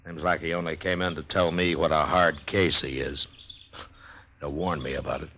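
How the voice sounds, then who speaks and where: An American actor playing a stern, weary, decent frontier marshal, terse and plainspoken, in a 1950s Western radio drama. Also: male voice, very deep voice, fairly even intonation, moderate pace, sharp tone, slight background noise. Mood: firm, frank. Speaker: lead character and narrator.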